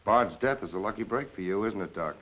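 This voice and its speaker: Low-voiced, terse police lieutenant. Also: male voice, expressive, fairly quick, a bit bright. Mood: cool accusing. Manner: terse, probing, dry. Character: shrewd, controlled police lieutenant pressing a suspect. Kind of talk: 1940s film noir acting. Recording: dull 1940s film soundtrack.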